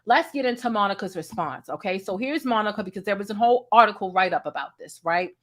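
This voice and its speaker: Deep-voiced woman hosting a live commentary. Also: expressive, quick pace, fairly bright tone. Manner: emphatic, repeats lines for punch, asks the chat questions. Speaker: YouTube commentary host. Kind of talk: livestream commentary.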